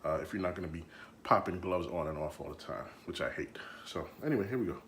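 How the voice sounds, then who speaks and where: A man's voice: low voice, fast talker, a bit bright, roomy sound, slight background noise. A man hosting a home cooking demonstration, talking in a loose, chatty, jokey way full of fillers. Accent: slips into a Jamaican accent. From Jamaica. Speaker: cooking show host.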